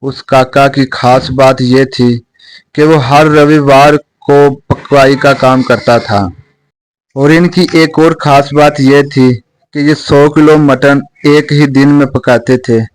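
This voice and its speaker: A man's voice: medium pitch, steady tone, slow pace, poor audio. A man narrating a scripted moral story as a storyteller.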